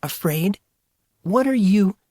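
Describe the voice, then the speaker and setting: A man voicing a proud, sharp-tongued young woman in a fantasy story, indignant and sarcastic. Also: high voice, lively intonation, slow pace, dry recording, clean studio sound, voice pushed up, exaggerated voice.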